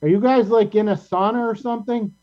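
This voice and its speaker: A man with a soft, mellow voice hosts a casual group chat with his cast. He speaks in an encouraging way. The sound is dull and lo-fi, like an online call with mains hum. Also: sits high, steady tone, brisk. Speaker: show host and director.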